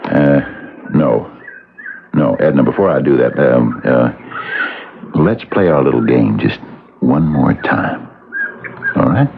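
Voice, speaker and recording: A scheming husband with an extremely low, gravelly voice in a vintage radio drama. He coaxes haltingly and draws out phrases like a hypnotist. The recording is narrow and lo-fi, with loud music behind. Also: expressive, exaggerated voice. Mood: ingratiating. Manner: hesitant, coaxing, drawn-out hypnotic phrasing. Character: soft-spoken scheming husband hypnotizing a trusting young woman. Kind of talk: old-time radio drama acting.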